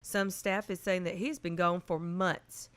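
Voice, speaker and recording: Casting: actress as grave radio news anchor for an audio drama, lower register, formal and impersonal delivery. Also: female voice, fairly even intonation, fairly bright tone, clean tone, lightly acted. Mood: grave, factual. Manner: measured, impersonal. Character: sober female radio newsreader reporting a global collapse.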